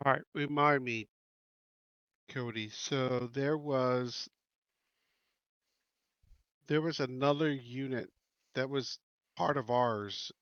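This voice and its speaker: A man's voice: medium pitch, slow, long pauses, a bit bright, clear, resonant tone, dry recording. Man casually asking questions, dry muffled mic. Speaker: tabletop game player. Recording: slightly dull, dry mic.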